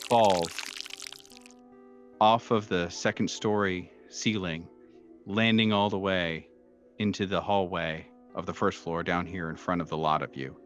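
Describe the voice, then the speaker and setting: A very low-voiced man runs a horror tabletop game as game master. He describes scenes in a halting, searching way, feeling his way through each phrase. Faint music plays behind him. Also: highs cut off. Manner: halting, hesitant descriptive delivery. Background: soft background music.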